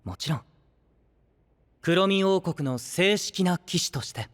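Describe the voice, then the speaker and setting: An actor playing a gentle, idealistic young knight who leads an alliance, speaking softly and earnestly in anime audio drama style. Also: male voice, high-pitched, lively intonation. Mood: gently persuasive. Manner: soft-spoken, earnest, measured.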